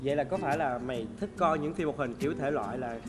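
A man's voice: mid-range voice, fast talker. A man in his twenties with a southern Vietnamese accent, hosting a casual chat with playful, teasing banter. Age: 20s. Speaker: talk show host. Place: indoor talk show set styled as an old shop.

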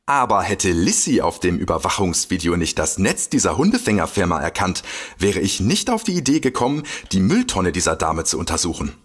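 An actor playing an excitable, boastful detective with a husky voice in a comedy mystery audio drama. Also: male voice, low-pitched, fairly quick, heavily acted. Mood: proud, explaining. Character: excitable, boastful male detective eager to explain. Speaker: amateur detective.

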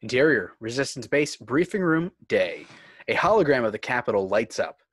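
A man's voice: mid-range voice, sing-song, normal speed, breathy edge, no echo. Dry-sounding male script narrator. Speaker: narrator reading stage directions. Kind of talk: scripted narration at a table read. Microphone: video call.

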